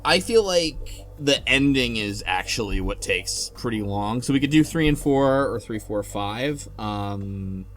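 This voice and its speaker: A man's voice: medium pitch, expressive, measured pace, few pauses, fairly bright tone. A man hosts a casual group podcast chat in a mid-range voice. He thinks aloud and hedges as he goes. Faint music plays behind him. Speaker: podcast host.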